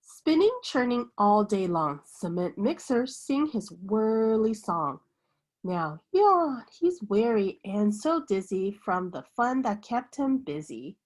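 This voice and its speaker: A woman reading a children's picture book aloud like a teacher, with an expressive, sing-song bedtime delivery. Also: medium pitch, takes it slowly.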